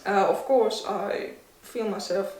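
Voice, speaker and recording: A woman's voice: mid-range voice, takes it slowly, a little mellow. A woman in her twenties with a Russian accent, answering interview questions in a hesitant, thoughtful way, laughing often. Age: 20s. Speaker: interview guest. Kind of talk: casual interview. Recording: echoey room, mic at a distance.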